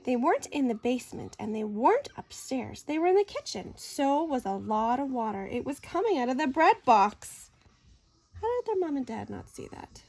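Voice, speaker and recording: A woman reading a children's picture book aloud in an expressive, deliberate, dramatic way. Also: high voice, gravelly. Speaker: story reader.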